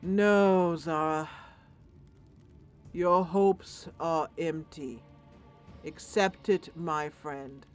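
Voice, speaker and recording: A woman voicing a gloomy, fatalistic old male dwarf cleric for a fantasy roleplay, in a slow, resigned manner. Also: deep voice, steady tone, faint music behind. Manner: slow, ponderous, resigned delivery.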